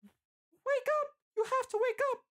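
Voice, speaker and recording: A narrator voicing a distraught young heroine in an adventure story, pleading urgently in a shrill, drawn-out way. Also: darkish tone. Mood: desperate pleading.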